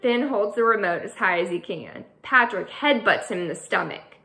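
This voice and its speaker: Bright, lively woman reading aloud. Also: mid-range voice, moderate pace, creaky. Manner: expressive, storytelling read-aloud. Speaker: author. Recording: echoey room.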